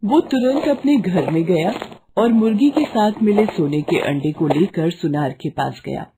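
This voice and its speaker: A woman's voice: lowish voice, animated, measured pace, background noise. A woman storyteller giving scripted narration for a children's moral tale.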